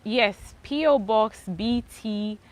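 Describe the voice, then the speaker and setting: A young woman with a mixed Ghanaian, English and Australian accent, chatting casually outdoors as she answers viewers' questions live. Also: fairly high pitch. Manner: chatty, relaxed, thanks viewers, spells things out slowly. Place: outdoors under a palm tree.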